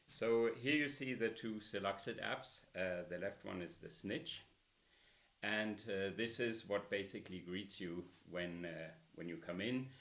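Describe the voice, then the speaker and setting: A man with a loud voice presenting a smartphone app in a casual demo, with many filler pauses. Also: on the low side.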